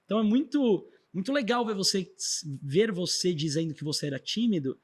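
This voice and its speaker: A man with a Brazilian accent hosts a conversational interview. His voice sits slightly higher than average, and he speaks in an affirming, encouraging manner to his guest and listeners. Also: big pitch swings, brisk. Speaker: podcast host.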